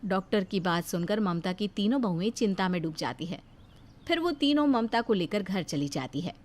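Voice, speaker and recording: A woman tells a cartoon moral story in a steady, dramatic storytelling voice. Her pitch is mid-range. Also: moderate pace, faint music behind. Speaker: narrator.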